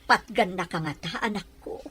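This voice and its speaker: A woman's voice: mid-range voice, normal speed, fairly bright tone, husky. A woman with an Ilocano accent acting a loving, worried middle-aged mother in a radio drama. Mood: worried, tender.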